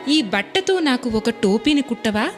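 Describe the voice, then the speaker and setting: A woman's voice: a little high, animated, normal speed, soft background music, natural voice. A woman voicing a small, vain, bossy mouse in a children's storybook tale. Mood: polite asking. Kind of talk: storybook character reading.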